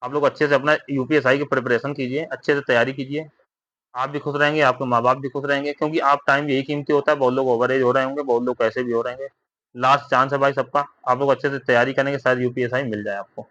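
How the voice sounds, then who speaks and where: A man's voice: mid-range voice, flat delivery, quick pace, fairly bright tone, dry recording, muffled recording. A man explaining exam updates informally to candidates, repeating himself, often checking for agreement, advising and reassuring. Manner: repetitive, with frequent tag questions seeking agreement.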